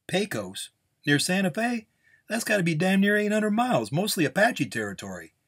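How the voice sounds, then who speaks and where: A man voicing an older male gunslinger in a Western story, gruff, wry and haggling in folksy, drawling speech. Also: sits high, big pitch swings, normal speed, a bit bright, pitched above the performer's own voice, heavily acted. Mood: wry, skeptical.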